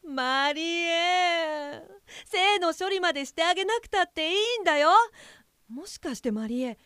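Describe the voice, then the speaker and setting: A woman's voice: extremely high pitch, expressive, a little slow, fairly bright tone, dry recording, clean studio sound, exaggerated voice. A woman playing an earnest, anxious young care worker in an audio drama, flustered and questioning herself. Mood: agitated. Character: anxious, earnest young female guide helper for the blind. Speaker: voice actor. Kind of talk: audio drama acting with inner monologue.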